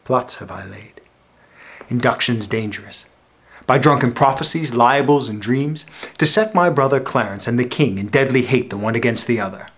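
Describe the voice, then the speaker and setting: Casting: male actor as bitter, plotting, misshapen royal, classical stage monologue, mid-range voice, measured dramatic delivery. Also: medium pitch, lively intonation, fairly bright tone. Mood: scheming resolve.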